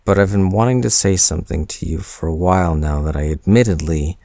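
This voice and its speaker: A man's voice: low-pitched, fairly quick, a little mellow, no echo, studio quality. A man playing a shy, smitten young man in an intimate boyfriend roleplay audio, speaking hesitantly with bashful stammers. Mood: reluctant, bashful.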